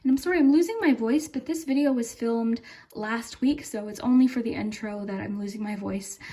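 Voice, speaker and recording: Mellow, high-pitched woman reading tarot. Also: a little fast. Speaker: tarot reader and channel host. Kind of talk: casual, unscripted tarot reading to camera.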